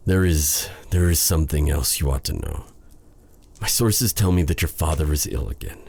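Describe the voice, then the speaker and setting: Man voicing a dark fantasy sorcerer, deep voice, slow halting delivery, formal old-fashioned diction, brooding yet tender, romantic audio roleplay. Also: gravelly, husky, full character voice. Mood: grave, resigned.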